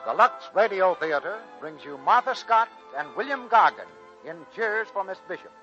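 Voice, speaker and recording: High-voiced male 1940s radio announcer. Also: animated, moderate pace, sharp tone. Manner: grand, projected showman delivery. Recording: dull old 1940s radio recording. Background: music.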